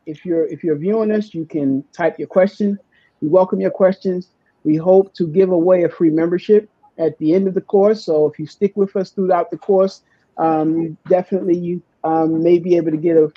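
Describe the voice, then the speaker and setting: A podcast host introducing guests in a live online session, speaking haltingly and often restarting phrases. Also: medium pitch, no room sound, muffled recording.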